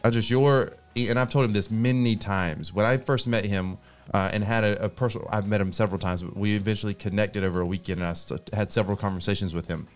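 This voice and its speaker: A man's voice: fairly low pitch, steady tone, faint music behind. An American man, a native English speaker, talking as a guest in casual chat, earnest and full of restarts. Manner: rapid, earnest, restarts often.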